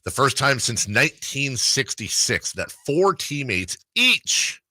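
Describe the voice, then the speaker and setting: A man hosting a sports radio show, excitable and animated as he rattles off stats and banter. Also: medium pitch, no room sound. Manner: animated, excitable, quick stat rundowns.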